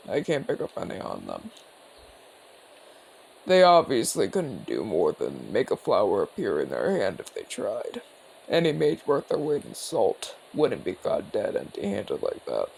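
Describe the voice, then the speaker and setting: A man's voice: medium pitch, gravelly, a little mellow, slightly rough, exaggerated voice, poor audio. A man playing a seasoned, cocky but easygoing orc commander in an audio roleplay, talking in a casual, teasing, explanatory way. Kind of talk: ASMR audio roleplay acting.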